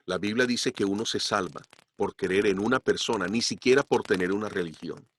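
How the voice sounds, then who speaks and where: A man preaching a sermon as pastor, slowing down and pushing up his voice to stress key points. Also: lowish voice, slightly rough. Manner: emphatic, slows down to stress points. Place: church.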